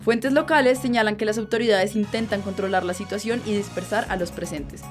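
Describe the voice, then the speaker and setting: A woman's voice: medium pitch, natural voice. Woman acting as a formal female radio news reporter over music. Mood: grave, formal. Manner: formal, measured newsreader delivery. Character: grave female news reporter announcing breaking events. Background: music under the voice.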